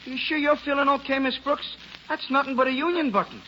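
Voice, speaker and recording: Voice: high-pitched, fairly quick, lightly acted. An actor playing a streetwise, eager young newsboy in a 1940s radio drama, talking in informal city slang. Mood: puzzled. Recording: hissy, crackly, dull old radio recording.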